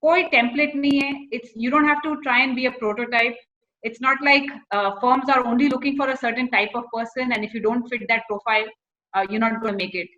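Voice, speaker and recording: A woman with an Indian accent shares informal career advice as a corporate recruiter. Her voice sits fairly high and sounds very bright and crisp-edged, and she mixes Hindi phrases into her English. The audio is slightly dull and lo-fi. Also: fairly even intonation, fast talker.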